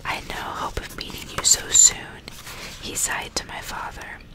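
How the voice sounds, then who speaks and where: Hushed, breathy whisper from a woman. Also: moderate pace. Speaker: ASMR host reading a novel aloud. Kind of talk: whispered ASMR audiobook reading with casual asides.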